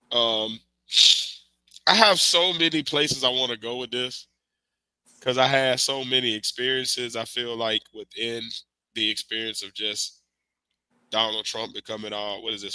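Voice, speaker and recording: A man's voice: mid-range voice, measured pace, pauses often, clean tone, no room sound, rough recording. A man hosting a podcast in casual, slangy chat, talking through a cold. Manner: loose, animated, slangy banter. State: has a cold. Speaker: podcast host. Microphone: remote stream mic.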